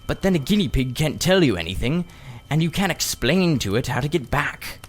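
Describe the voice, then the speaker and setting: Man playing a sly, oily old gentleman magician. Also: fairly high pitch, very animated, voice pushed up. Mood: coolly insistent. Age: older. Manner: unctuous, drawn-out, cunning politeness. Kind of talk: children's storybook character reading.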